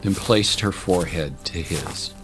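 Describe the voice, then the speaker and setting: A male narrator delivers scripted dramatic storytelling. His voice sits low, and music plays clearly behind it. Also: unhurried.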